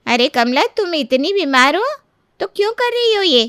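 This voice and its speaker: A woman's voice: high-pitched, very animated, moderate pace, a bit bright, dry recording, clean studio sound, pitched above the performer's own voice. A woman voicing a concerned older neighbour woman in a storybook tale, exclaiming and coaxing. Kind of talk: Hindi moral-story voice acting.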